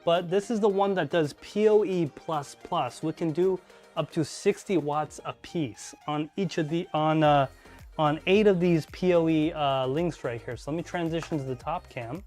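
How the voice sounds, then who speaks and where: A man hosting a casual live stream, talking through his network gear and reading chat aloud as he thinks out loud. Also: normal speed, soft background music. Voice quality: deep voice. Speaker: podcast host live streaming. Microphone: desk mic, level adjusted mid-stream.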